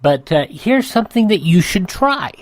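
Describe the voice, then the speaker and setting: A man's voice: high voice, very animated, moderate pace, no room sound. Older folksy man, dry close radio voice. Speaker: radio show host. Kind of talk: conversational ad read and show introduction.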